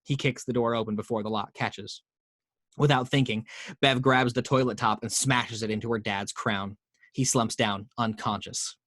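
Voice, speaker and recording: A man's voice: mid-range voice, steady tone, normal speed, a bit bright, dry recording, clean studio sound. Man reading screenplay action lines.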